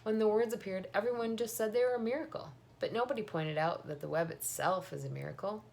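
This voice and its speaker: A woman reading the part of a wise, bearded old country doctor in a storybook, unhurried and thoughtful. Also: medium pitch, creaky, pitched above the performer's own voice, natural voice. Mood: musing. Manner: unhurried, thoughtful, gently questioning.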